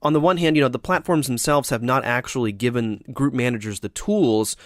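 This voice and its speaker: A man's voice: mid-range voice, brisk, no room sound, studio quality. A man from the United States co-hosting a podcast, talking casually with many fillers and restarts. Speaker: podcast co-host. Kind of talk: casual chat.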